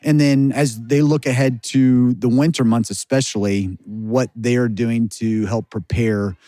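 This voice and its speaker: An American man hosting an interview, asking calm questions and giving soft listening murmurs. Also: medium pitch, a little slow, no room sound. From United States. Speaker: podcast host. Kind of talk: interview host.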